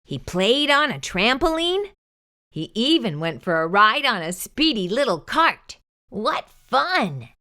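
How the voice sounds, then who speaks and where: A woman hosting a children's storytime, reading a picture book aloud in an expressive, sing-song way. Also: a little high, slow pace.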